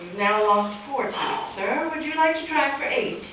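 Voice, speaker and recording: A woman's voice: sits high, animated, moderate pace. A woman playing a wry, exasperated schoolteacher with theatrical delivery in a comic live radio play. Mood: dry sarcasm. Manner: dry sarcasm, theatrical alarm. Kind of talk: comic old-time radio play acting. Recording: echoey hall from far off, dull, hissy.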